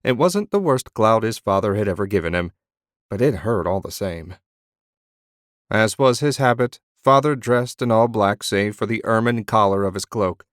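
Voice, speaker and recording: A man narrating a fantasy audiobook in measured storytelling. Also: fairly low pitch, expressive, no room sound, clean studio sound.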